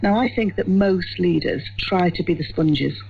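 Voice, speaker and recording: An older woman with a husky voice, giving reflective, emphatic answers as a radio interview guest. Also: lowish voice, moderate pace. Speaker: retired head teacher, interview guest. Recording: dull lo-fi radio recording.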